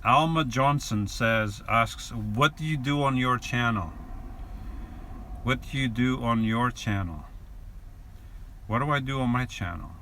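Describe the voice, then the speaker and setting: Slow-talking man in his forties. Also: medium pitch, sharp tone. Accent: New York. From New York and New Jersey. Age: 40s. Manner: unhurried and easygoing, with many fillers and restarts. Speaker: vlogger. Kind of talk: live stream, reading viewer questions. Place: inside a van. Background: inverter hum.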